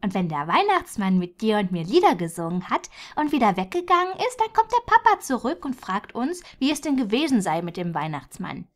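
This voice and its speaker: A man voicing an excited young child who tells the story. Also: high voice, fairly quick, few pauses, voice pushed up, exaggerated voice. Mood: earnest.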